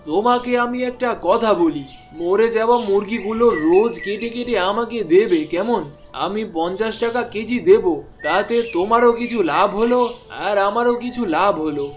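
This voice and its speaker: A man's voice: high voice, normal speed, close to an everyday voice. A man voicing a thrifty, scheming village biryani cook in a chatty, bargaining cartoon style. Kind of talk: cartoon dialogue voice acting. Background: background music.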